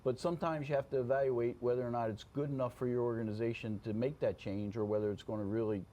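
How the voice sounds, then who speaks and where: A man's voice: medium pitch, fairly even intonation, quick pace, dark tone, dull audio. Plainspoken older man, noisy room. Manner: plainspoken, checks in with "okay?" and "you know". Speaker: veteran fire officer, interview guest. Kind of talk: casual conversational interview. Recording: clear background room noise.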